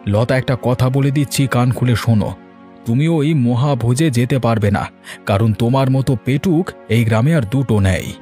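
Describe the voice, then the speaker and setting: A man's voice: mid-range voice, moderate pace, mellow, natural voice. A man playing a sarcastic, grumbling poor farmer and long-suffering husband in a cartoon. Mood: scornful. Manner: sarcastic, complaining. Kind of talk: Bengali cartoon dialogue. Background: background music.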